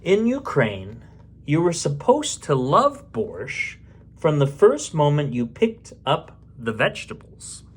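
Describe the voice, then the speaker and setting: A man's voice: on the high side, huge pitch swings, slow pace, breathy edge. A man reading a storybook aloud expressively, with casual muttered asides as he goes. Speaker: bedtime story reader.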